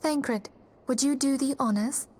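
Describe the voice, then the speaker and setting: A fantasy game's leader of a secret order, a woman with a fairly high voice. She speaks formally and courteously, in measured phrases, with composed authority. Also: darkish tone. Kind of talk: video game cutscene acting.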